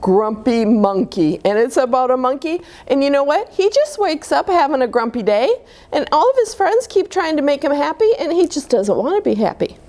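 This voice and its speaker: A woman's voice: high voice, normal speed. A woman reading a picture book aloud to children, with asides to her listeners. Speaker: children's story time reader. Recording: noticeable steady background noise.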